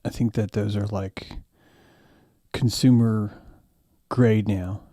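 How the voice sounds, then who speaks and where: Chill low voiced guy going yeah yeah, trailing off, dry close mic. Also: takes it slowly, no echo. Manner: relaxed, trailing off, agreeable. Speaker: podcast co-host. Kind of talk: casual group chat.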